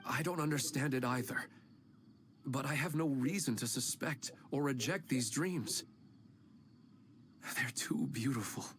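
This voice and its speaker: A man voicing an earnest husband missing his wife in a game, wistful and unhurried. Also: medium pitch, slight background noise, close to an everyday voice. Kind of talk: game voice acting. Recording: game audio over loud music.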